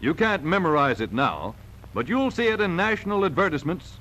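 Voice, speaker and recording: Male 1940s industrial-film narrator: highish voice, very animated intonation, emphatic polished sales read, vintage soundtrack. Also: a little fast, low-quality recording.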